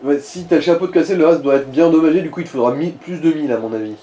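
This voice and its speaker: A man's voice: a little high, racing, crowd noise. Man chatting as gaming co-commentator. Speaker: co-commentator advising the player. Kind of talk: casual gaming chat. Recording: faint background noise.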